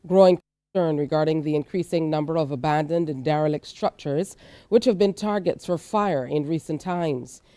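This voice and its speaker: A woman news anchor with a Barbadian accent, reading scripted bulletins and show previews in a measured, clear presenter style. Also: low voice, warm tone, dry recording.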